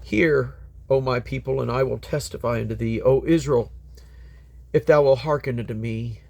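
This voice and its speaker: A man's voice: medium pitch, normal speed, gravelly, darkish tone. A man reading Bible scripture aloud in a solemn, declamatory manner, letting his phrases fall away at the end. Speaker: Bible reader.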